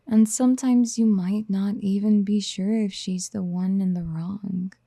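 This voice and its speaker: Woman playing a clingy motherly type for asmr roleplay, soft breathy half whispers, slow, close dry sound, soothing and doting. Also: mid-range voice, fairly even intonation, dry recording. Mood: gentle encouragement. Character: doting, possessive motherly woman comforting someone younger.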